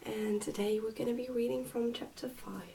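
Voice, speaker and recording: A soft-spoken woman, often close to a whisper, reading a book aloud in an ASMR style. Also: on the high side, steady tone, moderate pace, vocal fry, far from the mic, slight background noise. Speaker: ASMR reader and host.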